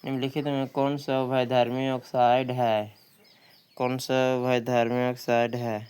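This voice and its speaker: A man's voice: mid-range voice, level tone, a little slow, darkish tone, smooth. A man working through practice questions as a coaching teacher, reading each one out and repeating questions and answers.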